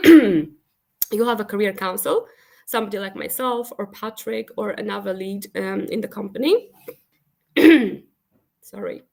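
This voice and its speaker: A woman consultant and career counselor with a cold, hoarse and hesitant, giving an earnest, encouraging talk at a virtual open evening. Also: medium pitch, expressive, a little slow, long pauses. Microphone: video call.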